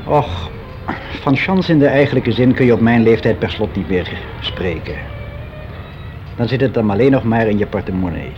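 An actor playing a frank, wistful clerk of about sixty in a radio drama monologue, speaking in a musing, halting, confiding way. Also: male voice, on the low side, animated, soft background music, close to an everyday voice. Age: older, about sixty. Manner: musing, halting, candid, with drawn-out phrases. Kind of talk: confessional radio drama monologue, conversational and hesitant. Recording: dull lo-fi radio play recording.

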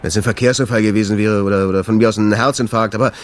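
An actor playing an impulsive, stubborn jazz club owner in a radio drama, talking in a colloquial, insistent, curt way. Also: male voice, lowish voice, fairly even intonation, rapid-fire, natural voice. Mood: shaken. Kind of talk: naturalistic German radio drama dialogue.

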